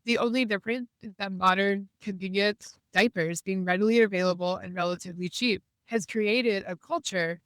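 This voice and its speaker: A woman hosts a podcast in an earnest, encouraging tone, her voice a little congested from a cold. The sound is close and dry, with no room echo, and she speaks from inside a car. Her tone stays smooth and clear despite the congestion. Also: mid-range voice, steady tone, moderate pace, few pauses. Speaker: podcast host. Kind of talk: casual podcast monologue. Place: in a car while driving.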